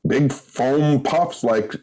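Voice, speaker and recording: A man in his fifties co-hosting a podcast, drifting through tangents in relaxed, hesitant speech. He fills his sentences with "like" and keeps a middling pitch. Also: very slow. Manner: rambling, nostalgic and hesitant, lots of "like". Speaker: podcast co-host. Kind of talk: casual banter.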